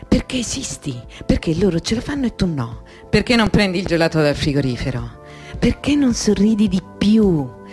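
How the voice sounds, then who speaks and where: A woman's voice: on the low side, lightly acted. An actress playing an alienated, tormented thirty-year-old woman in an intense radio drama monologue of short sentences.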